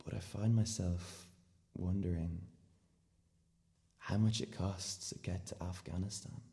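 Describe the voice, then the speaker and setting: An actor playing a naive, brooding seventeen-year-old boy in a confiding, halting radio play monologue. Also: low-pitched, fairly even intonation, creaky, mellow. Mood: brooding, listing. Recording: long hall-like reverb.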